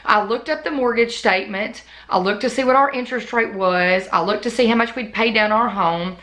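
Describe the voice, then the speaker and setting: Bright-voiced woman, voice still worn. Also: on the high side, fairly quick. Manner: chatty, talks in long run-on stories. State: voice still recovering, slightly worn. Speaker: budgeting vlogger. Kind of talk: casual off-the-cuff chat to camera.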